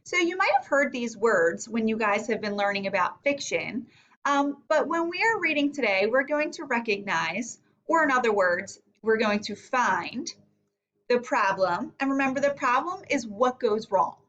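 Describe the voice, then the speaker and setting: High, lively woman teaching young children. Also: normal speed, dull audio. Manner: instructional, thinking aloud with guiding questions. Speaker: elementary reading teacher. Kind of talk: read-aloud lesson for young children.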